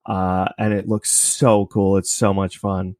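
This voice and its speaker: Low-pitched male guest, very dry sound. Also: level tone, unhurried, darkish tone, dry recording. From Canada. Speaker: podcast guest, audio drama creator. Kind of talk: casual interview chat.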